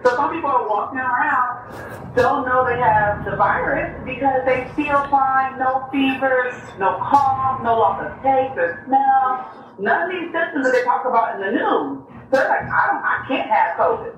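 A doctor calls in to the show and explains things in a measured, emphatic way. The voice sounds far off and heavily echoey, with clear background noise. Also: high voice, dull audio. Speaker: urgent care doctor, caller. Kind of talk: phone-in explanation.

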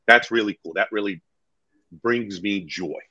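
A man talking conversationally as an interview guest, earnest and emphatic, telling stories and acting out dialogue in a deep voice. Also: measured pace, sharp tone, husky, no echo, highs cut off. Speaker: real estate agent being interviewed as a guest.

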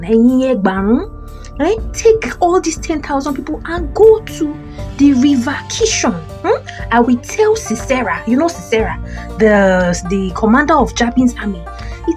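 A woman's voice: sits high, a little mellow. A Nigerian woman playing a confident, firm, motherly prophetess, speaking emphatically in an audio Bible play. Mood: brisk, instructing. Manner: emphatic, questioning tags.

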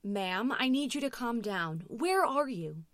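A female emergency dispatcher acted by a woman reading a story speaks in a raised, controlled voice. She is firm and insistent, holding on to calm as urgency shows, in a dry recording. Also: fairly high pitch, unhurried, no room sound, pitched above the performer's own voice. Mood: firm concern.

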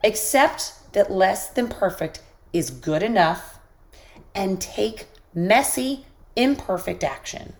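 A woman hosting a podcast, giving an encouraging motivational talk while sick with a squeaky voice. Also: animated, slow, creaky. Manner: encouraging, coaching listeners directly. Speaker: podcast host.

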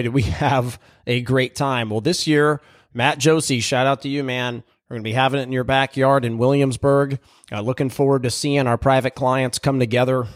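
A man hosting a podcast in a casual, energetic monologue, his voice still a little raspy and hoarse from recent voice loss. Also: mid-range voice, dry recording, clean studio sound. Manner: energetic, conversational, frequent restarts. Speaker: podcast host.

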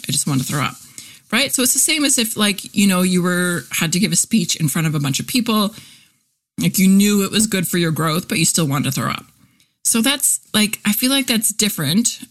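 A woman's voice: fairly low pitch, fairly bright tone. A woman in her forties hosting a casual solo podcast, chatty and candid, full of fillers and easy laughs. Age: 40s. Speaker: podcast host, copywriter and content teacher.

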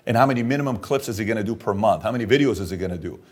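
A man's voice: lowish voice, expressive, very fast, a little mellow, slightly rough. A middle-aged man from Iran, an entrepreneur being interviewed, working through figures aloud and stressing points with "okay?". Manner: works through numbers aloud, emphatic, repeats "okay?". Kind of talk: animated business interview talk.

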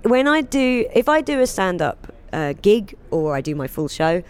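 A woman in casual interview chat, animated and laughing often, acting out quotes in high voices. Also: moderate pace, smooth. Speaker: guest, singer and comedian.

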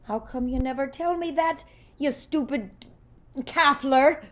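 A woman's voice: high voice, measured pace, fairly bright tone, slight background noise. A woman playing a grumpy older singing star in a comedy audio drama, flustered, snappish and begrudging. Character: grumpy, prickly older female singing legend.

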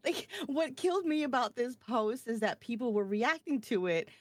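A woman from the US East Coast co-hosting a podcast, chatting in a giggly, animated way and swearing casually. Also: fairly high pitch, normal speed, no echo. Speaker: podcast co-host. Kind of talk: casual chat.

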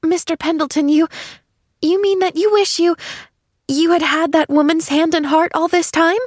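A woman voicing a bright, innocent young girl who gushes with eager joy in an audiobook. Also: very high-pitched, steady tone, normal speed, no echo, studio quality, pitched above the performer's own voice. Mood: overjoyed. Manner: gushing, breathless enthusiasm. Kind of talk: audiobook character acting.

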